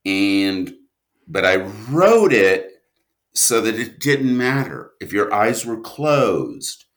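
A man's voice: lowish voice, sing-song, slow pace. A man speaking as a guest writer in a reflective interview, stopping and starting and leaning on certain words. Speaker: guest writer and producer of audio drama.

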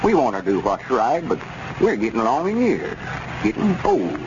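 An actor playing a slow, hesitant elderly storekeeper with a rural Arkansas drawl, in 1930s radio comedy style. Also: male voice, mid-range voice, wildly swooping pitch. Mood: earnest. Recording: dull scratchy 1930s radio broadcast.

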